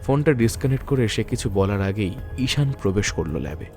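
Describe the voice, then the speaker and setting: A man's voice: on the low side, lively intonation, fairly quick, darkish tone, faint music behind. A man narrating a scripted detective thriller in a literary, dramatic storytelling manner.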